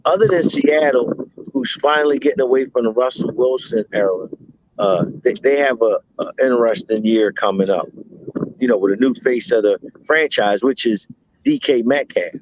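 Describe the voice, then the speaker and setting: A man co-hosting a podcast, chatting casually about football, talking in quick bursts and repeating himself. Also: medium pitch, no room sound, highs cut off. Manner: animated, talks in bursts with repeats. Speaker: podcast co-host. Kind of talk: casual sports chat.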